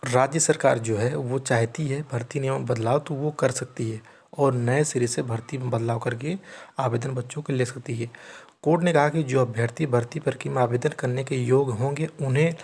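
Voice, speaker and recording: A man with a mid-pitched voice explains recruitment news. He reads aloud, then breaks it down in a teacherly manner, often restating points and checking that listeners follow. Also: a little mellow, breathy edge. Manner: repeatedly rephrases and checks understanding, teacherly. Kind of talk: informal explainer, reading news aloud and commenting.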